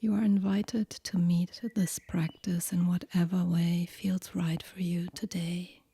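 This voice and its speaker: A woman guiding a yoga nidra meditation in a hushed, breathy near-whisper, gentle and soothing, with long pauses between phrases. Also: on the low side, level tone, dry recording. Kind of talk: guided relaxation meditation, soft and whispery.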